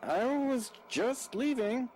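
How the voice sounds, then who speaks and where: A man's voice: very high voice, animated, slow pace, darkish tone, heavily acted. A man playing a nervous, sheepish lover caught out, hesitant and evasive, in an audio drama. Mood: sheepish, awkward. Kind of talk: radio-play style dramatic acting. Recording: faint background noise.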